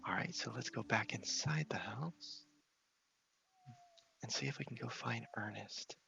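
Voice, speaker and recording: A man's voice: mid-range voice, a little slow, creaky, bright, forceful tone, highs cut off, faint music behind. A man giving casual ASMR gameplay commentary, often whispering as he thinks aloud. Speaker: game streamer.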